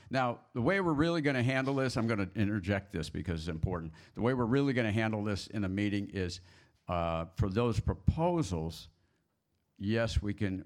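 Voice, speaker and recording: A man with a low, deep voice chairs a meeting. He explains procedure informally and haltingly, doubling back to rephrase as he goes. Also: a little mellow. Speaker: meeting moderator.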